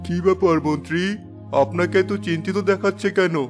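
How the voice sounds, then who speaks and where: A man playing a kindly, dignified king in an animated fairy tale, speaking in a formal, courteous way. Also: high-pitched, fairly quick, soft background music, natural voice. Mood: concerned. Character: kindly, dignified king, brotherly toward his minister.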